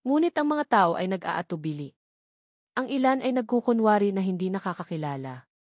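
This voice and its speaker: A woman narrates a scripted, dramatic story. Her pitch sits in the middle of the female range, and the recording is dry, with no room sound around the voice. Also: sing-song, a little fast, a little mellow, no room sound.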